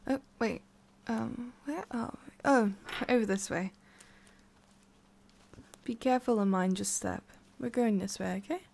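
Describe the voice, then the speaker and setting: A woman playing a centuries-old forest witch in an ASMR roleplay, haughty but secretly soft, speaking in a halting, musing way with a prickly edge. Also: a little high, vocal fry, slight background noise. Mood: gently guiding. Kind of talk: ASMR roleplay, addressing the listener.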